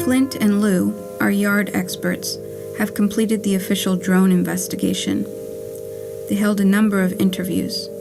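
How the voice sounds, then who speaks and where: A woman plays a wry, whimsical letter writer speaking from beyond, in a poetic puppet-theater monologue. Her mid-pitched voice has a clear creak at its edges. She reads deliberately and with measure, over faint music. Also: lively intonation. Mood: wry, wistful. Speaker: writer and narrator reading her play. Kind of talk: scripted poetic monologue, letter read aloud.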